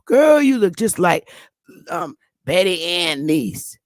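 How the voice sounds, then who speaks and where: A woman voicing a nosy, chatty Southern woman who talks in a high, sing-song drawl. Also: very animated, slow pace, vocal fry, no room sound, clean studio sound, heavily acted. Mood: excited. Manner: gushing and familiar.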